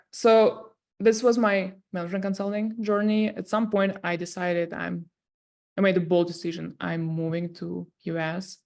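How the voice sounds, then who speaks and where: Russian-accented woman with a gravelly voice. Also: on the low side, darkish tone. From southern Russia. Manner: earnest, frequent fillers. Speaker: guest, tech company CEO. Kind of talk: interview storytelling.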